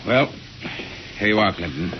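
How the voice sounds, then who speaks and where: An actor playing a sober, concerned, matter-of-fact army major in a 1950s radio drama. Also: male voice, fairly low pitch, expressive, moderate pace, lightly acted. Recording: muffled, noisy vintage radio recording.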